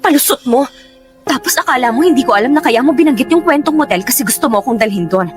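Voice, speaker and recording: An actress playing a guarded, sensitive young Filipino woman in a radio drama, emphatic and quick to scold. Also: high voice, lively intonation. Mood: upset, accusing. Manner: emphatic, nagging. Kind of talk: Tagalog radio drama acting. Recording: music bed under dialogue.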